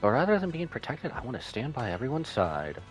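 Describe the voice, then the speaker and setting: A man's voice: mid-range voice, huge pitch swings, brisk. A man reading the lines of an earnest, grateful, self-doubting young woman from an anime game. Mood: sincere. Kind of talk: reading game dialogue aloud. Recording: game music behind voice.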